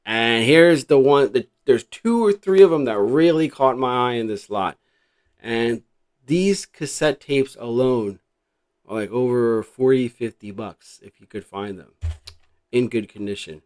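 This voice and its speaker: A middle-aged American man with a mid-pitched voice hosts in a casual, unscripted way. He rambles reflectively, thinking out loud as he goes. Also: measured pace. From New Jersey, United States. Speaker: host showing a cassette collection. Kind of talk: casual unscripted vlog chat.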